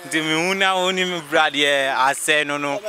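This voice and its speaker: A man's voice: fairly high pitch, brisk, over loud music. A man from northern Ghana with a Ghanaian accent, casually sharing his views in an interview. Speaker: street interviewee. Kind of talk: casual opinionated chat.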